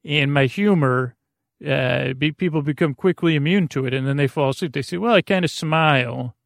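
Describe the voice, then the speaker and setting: A man with a creaky voice hosting a bedtime podcast, meandering hesitantly, mumbling and trailing off in a goofy way. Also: a little high, moderate pace, dry recording, clean studio sound. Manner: silly, goofy. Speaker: podcast host. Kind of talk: rambling bedtime podcast monologue.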